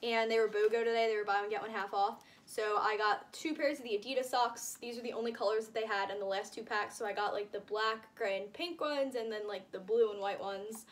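A woman's voice: medium pitch, steady tone, brisk, few pauses. A nineteen-year-old woman chatting casually in a shopping haul vlog, rambling and gushing with lots of filler "like" and exaggeration. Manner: rambling, gushing, uses frequent "like" and hyperbole. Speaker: vlogger showing shopping haul. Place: indoors, small room with curtain backdrop.

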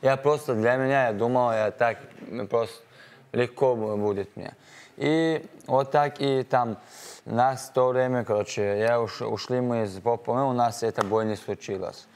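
A young man, an MMA fighter, speaking haltingly with broken grammar at a studio press conference, on a lapel mic. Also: medium pitch, steady tone, darkish tone, clean tone. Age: young adult. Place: dark studio set, seated in armchair.

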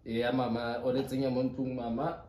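A man's voice: medium pitch, little pitch movement, fairly quick, darkish tone. South African man prank calling, roomy echo. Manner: insistent, repetitive, addressing the listener respectfully. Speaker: prank caller. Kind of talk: prank phone call posing as a company agent. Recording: roomy echo with background noise.

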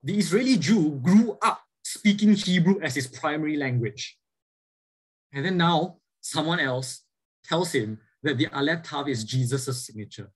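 A man's voice: a little high, expressive, a little slow, slightly rough, rough recording. A man giving a church lecture as a Bible teacher, earnest, asking his listeners rhetorical questions and often restarting his sentences. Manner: frequent fillers and repeated restarts. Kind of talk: church lecture over slides.

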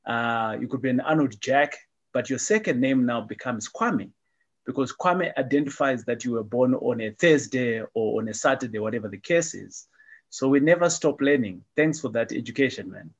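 A man's voice: mid-range voice, expressive, normal speed, slightly rough, lo-fi sound. A middle-aged man hosting a casual interview over a video call, upbeat and repeating friendly phrases. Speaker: host.

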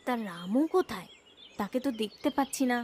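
A woman's voice: a little high, animated, a little fast, a little mellow, a little hiss or hum, lightly acted. A woman voicing a chatty, approving visiting sister-in-law, familiar and inquisitive, in a cartoon moral story. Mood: curious.

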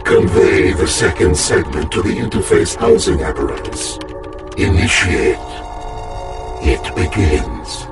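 A man playing a cold, imperious rogue artificial intelligence with contempt for humans, deep and gravelly through a robotic effect, in a video game cutscene. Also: far from the mic, loud music behind the voice. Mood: cold, obedient. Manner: imperious, deliberate, theatrical. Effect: robotic processed voice.

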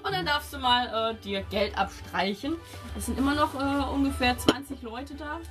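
A woman's voice: sits high, moderate pace. A woman narrating a tabletop role-playing game as game master in a casual, playful way. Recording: music playing behind.

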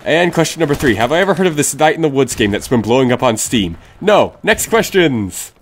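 A young man with a blocked, stuffy nose talks loosely through viewer questions, restarting sentences and dropping blunt opinions. His voice sits at an ordinary middle pitch. A faint hiss runs under the home mic recording. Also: sing-song, a little fast. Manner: rambling, self-correcting, blunt opinions. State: stuffy, sick. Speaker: YouTube channel host. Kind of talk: casual Q&A answering viewer questions.

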